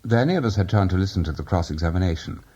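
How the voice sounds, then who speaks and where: Man, audiobook narrator, dark mellow tone, lively expressive pitch. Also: dull audio. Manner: measured, expressive storytelling. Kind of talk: audiobook reading of literary fiction.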